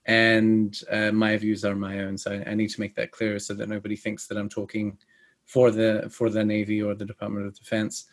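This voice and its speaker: A man in his thirties from Australia, with an Australian accent that sounds close to British, speaking as a guest on an interview show, measured and pausing often. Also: on the low side, completely flat delivery. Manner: measured, with many pauses and fillers. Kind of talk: podcast interview.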